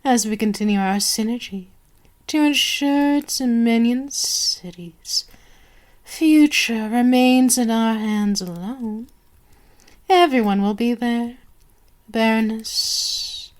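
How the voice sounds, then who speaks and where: A woman voicing a sadistic, possessive vampire queen in an ASMR roleplay, with a haughty, teasing, drawn-out delivery. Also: on the high side. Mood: haughty, scheming.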